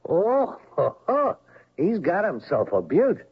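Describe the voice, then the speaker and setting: A highish, husky man's voice, a scornful, blunt morgue worker in a classic radio play. He talks in rough streetwise slang on a dull, lo-fi recording. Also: wildly swooping pitch, slow pace, exaggerated voice. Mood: excited. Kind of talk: old-time radio drama acting.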